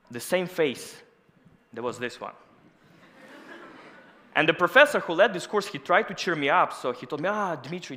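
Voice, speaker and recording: A man in his thirties, a native Russian speaker, giving a lively conference talk on stage with personal stories, through a headset mic. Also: on the high side. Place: large auditorium stage. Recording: long hall reverb.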